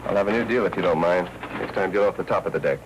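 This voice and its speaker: A man's voice: low-pitched, very fast, natural voice. An American actor playing a tough, curt newspaperman in an old film noir crime drama. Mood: stern. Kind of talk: 1940s film noir acting. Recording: noisy old 1940s film soundtrack.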